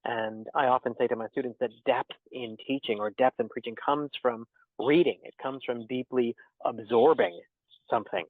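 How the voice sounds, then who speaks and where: A man answering interview questions thoughtfully about poetry and teaching, pausing often with fillers and restarts. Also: mid-range voice, dry recording. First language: English. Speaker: interviewed poet and theology teacher. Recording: tinny dry phone-like line.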